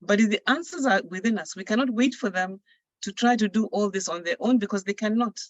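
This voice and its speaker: A woman's voice: mid-range voice, fairly quick, no echo. A woman with a Ghanaian English accent, speaking earnestly and persuasively as a coach moderating an online panel. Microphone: video call.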